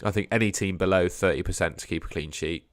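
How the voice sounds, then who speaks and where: A young man with a sore throat hosting a casual fantasy football live stream, hedging his picks and trailing off quietly at the ends of phrases. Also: deep voice, fast, no echo, studio quality. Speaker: fantasy football livestream host. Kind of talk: casual live stream chat answering viewers.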